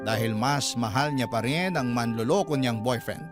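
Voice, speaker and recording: A man with a Filipino accent reading a listener's story as a radio host, in sentimental, measured, dramatic narration. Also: mid-range voice. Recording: loud music under the voice. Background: loud background music.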